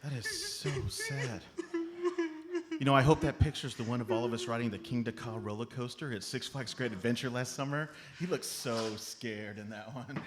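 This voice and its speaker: A man's voice: medium pitch, big pitch swings, moderate pace, crowd noise. A man performing live comedic radio drama on stage in a mock-earnest manner. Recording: live stage, faint noise.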